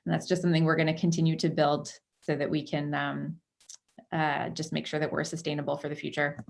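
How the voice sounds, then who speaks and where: A woman's voice: low-pitched, flat delivery, fairly quick, gravelly. A woman giving a prepared report as a board chair at a public meeting. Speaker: outgoing chair of a market board. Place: video conference call.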